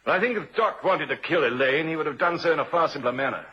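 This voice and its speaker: An actor playing a shrewd, unhurried police inspector in an old-time radio drama, a man with a measured, probing, quietly assured manner. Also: a little high, expressive, breathy edge. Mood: explaining. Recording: muffled vintage radio recording.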